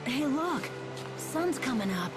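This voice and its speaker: A woman's voice: sits high, sing-song, slow pace, music in the background, full character voice. A woman playing a reserved, polite young boy in an English-dubbed anime game. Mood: quietly moved.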